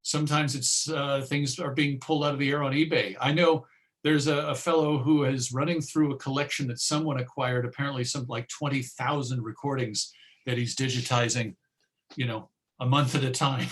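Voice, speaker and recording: A man presenting an informal online talk and answering questions in a hesitant, musing way, often pausing mid-phrase. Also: medium pitch, steady tone. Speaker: presenter and old-time radio collector.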